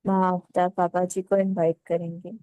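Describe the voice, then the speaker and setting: A woman leading a guided meditation, giving calm, unhurried instructions in short phrases with pauses. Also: fairly low pitch, dry recording, muffled recording.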